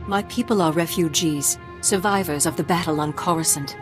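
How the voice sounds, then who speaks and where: A woman's voice: fairly low pitch, normal speed, over music, close to an everyday voice. An actress playing a proud, aggrieved refugee leader in a video game, pleading formally and earnestly for her people. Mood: pleading, indignant. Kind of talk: dramatic scripted video game dialogue.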